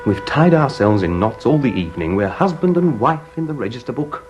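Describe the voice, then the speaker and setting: An actor with an English accent playing a grumbling, put-upon husband in a farce, exasperated and dryly sarcastic. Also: male voice, mid-range voice, animated, brisk, lo-fi sound, music in the background, lightly acted. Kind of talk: vintage British stage farce acting.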